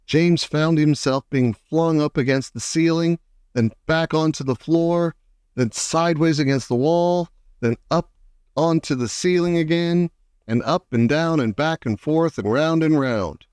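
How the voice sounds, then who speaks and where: Male audiobook reader for children's fiction, slightly higher-pitched voice, expressive narration, dry close recording. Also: unhurried, smooth, no echo.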